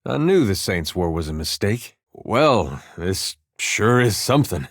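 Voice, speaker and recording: Low-voiced, folksy, wry farmer adventurer. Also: male voice, sing-song, measured pace, no echo, clean studio sound, exaggerated voice. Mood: reflective, troubled. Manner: folksy, drawling, dryly humorous. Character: easygoing, wry farmer and war veteran adventurer, male. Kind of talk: video game character dialogue.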